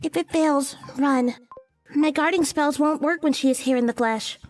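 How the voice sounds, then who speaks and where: A woman playing a bossy young witch in a visual novel, giving brisk instructions. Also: sits high, recorded from a distance, soft background music, close to an everyday voice. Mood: bossy, serious. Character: bossy young female witch casting guarding spells. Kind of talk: visual novel character dialogue.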